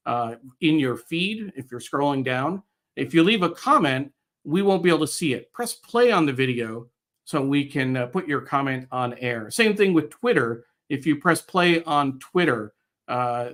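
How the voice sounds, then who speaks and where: A middle-aged American man guest-hosting a live online show, speaking in a halting way with pauses mid-phrase. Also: mid-range voice, fairly bright tone. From United States, New York area. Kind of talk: live show introduction, reading viewer comments.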